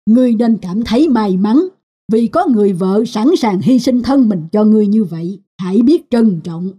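Nữ thần tình yêu trầm ấm, hơi khàn. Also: female voice, medium pitch, expressive, moderate pace, warm tone, breathy edge. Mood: admonishing. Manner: solemn, admonishing. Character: stern, wise female goddess of love. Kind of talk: Vietnamese cartoon dialogue.

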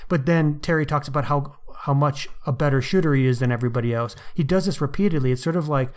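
A man with a somewhat higher-pitched voice co-hosts a book podcast. He talks casually and offers blunt opinions, tossing in slangy asides as he goes. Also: quick pace. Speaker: podcast host.

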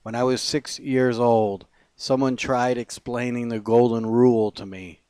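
A man reading poetry as a poet, in measured, deliberate phrases with pauses. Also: mid-range voice, steady tone, mellow, clear, resonant tone, no echo, clean studio sound.

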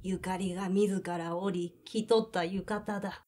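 A woman's voice: mid-range voice, fairly even intonation, measured pace, dark tone, natural voice. A woman playing a reminiscing grandmother of eighty-eight in an audio drama, with old-fashioned regional turns of speech. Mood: wistful.

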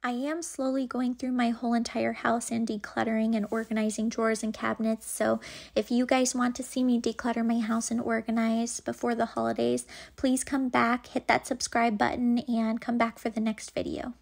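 Casting: female lifestyle vlogger, informal chatty narration, flat intonation with noticeable vocal fry. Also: a little high, moderate pace. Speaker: home organizing vlogger. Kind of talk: casual voice-over narration.

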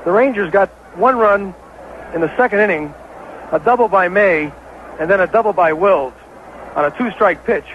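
A high-voiced American man calls a baseball game in 1970s radio style. He is an excitable, openly partisan play-by-play announcer. The old broadcast recording sounds dull, with clear ballpark noise behind him. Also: normal speed, pauses often. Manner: excitable, partisan home-team caller. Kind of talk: 1970s live radio sports commentary.

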